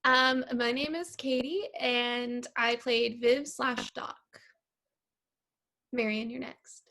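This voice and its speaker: A woman's voice: a little high, fairly even intonation, slow pace, pushed and bright. A woman actor talking casually in a cast discussion. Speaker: actor in a radio play. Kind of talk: casual chat.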